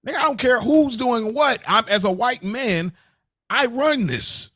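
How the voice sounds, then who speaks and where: A man's voice: very high voice, measured pace, sharp tone, slightly rough, dry recording, clean studio sound. A man co-hosting a podcast in casual, slangy discussion, conceding points before he pushes back. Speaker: podcast co-host.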